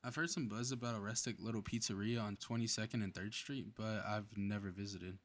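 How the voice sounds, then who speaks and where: A man's voice: lowish voice, steady tone, brisk, close to an everyday voice. A man playing a cocky, playful superhero in a flirty ASMR roleplay audio drama, with casual teasing banter.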